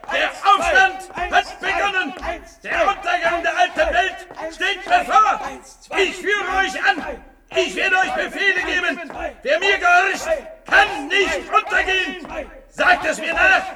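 An actor in a radio play playing a small, pompous, cowardly would-be dictator who commands and barks slogans. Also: male voice, very high-pitched.

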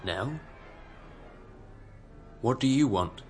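A tormented young composer in a radio drama. He speaks with fervent, declamatory intensity, like someone obsessed with his work. Music plays clearly behind the voice. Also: mid-range voice, lively intonation, very slow. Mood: curt, irritated.

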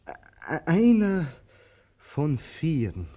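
An actor in a German radio play playing a talkative, brooding tramp, pompous yet fond, with theatrical, halting musing. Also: male voice, on the high side, huge pitch swings, dark tone, heavily acted. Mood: earnest, musing. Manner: theatrical, musing, mock-formal, halting for effect.

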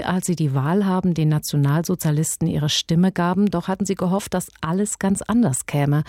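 Radio presenter: woman, low warm voice, scripted introduction, dry sound. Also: no room sound. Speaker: radio announcer.

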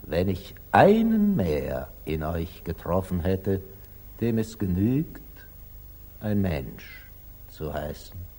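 A wise, wealthy merchant in a classical 1940s radio play. His delivery is slow and reflective with gentle irony, swinging widely in pitch, and the voice has a husky grain. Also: sing-song, heavily acted. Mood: grave, earnest. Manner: measured, reflective, gently ironic. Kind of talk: 1940s German radio drama acting, classical stage diction. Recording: 1940s radio recording, at times reverberant.

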